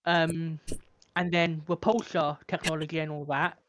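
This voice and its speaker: A man's voice: fairly high pitch, little pitch movement, measured pace, a crowd in the background. A man co-hosting a podcast in casual chat, tentatively searching for his words. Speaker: podcast co-host.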